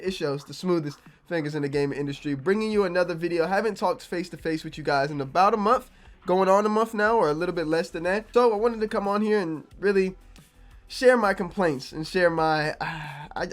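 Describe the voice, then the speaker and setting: A young man, a gaming YouTuber, talking casually to his viewers with a slightly raspy, low-energy voice from being sick. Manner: conversational, repeats himself, low energy. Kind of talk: casual opinion vlog to camera.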